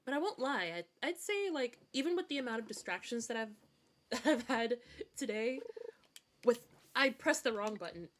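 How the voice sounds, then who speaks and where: A woman's voice: fairly high pitch, expressive, normal speed. Rambling woman streamer, mixed American-Scottish accent. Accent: mixed American and British Scottish. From Scotland. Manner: rambling, hesitant, circling back to repeat phrases. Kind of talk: casual livestream chat while gaming.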